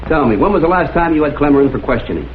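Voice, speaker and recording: An actor playing a shrewd, persistent crime photographer in a vintage detective drama, questioning politely but insistently. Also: male voice, on the high side, lively intonation, very fast, slight background noise, natural voice. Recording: muffled phone-like old soundtrack, music behind.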